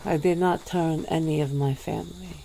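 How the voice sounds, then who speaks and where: Woman doing an ancient vampire lady, low and gravelly, very slow, languid and aloof. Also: fairly even intonation, a little hiss or hum, rough recording. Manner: slow, low, drawn-out purring delivery with soft laughs. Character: centuries-old female vampire, languid and aloof. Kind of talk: ASMR roleplay.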